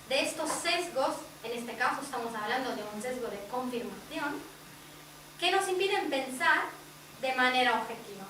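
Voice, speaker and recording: Italian-accented woman presenter, high and bright, speaking to a group from across a reverberant room. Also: noisy background. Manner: explanatory, addresses audience directly. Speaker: workshop presenter. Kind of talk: explanatory talk to a group. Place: indoor workshop room. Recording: echoey room, distant mic.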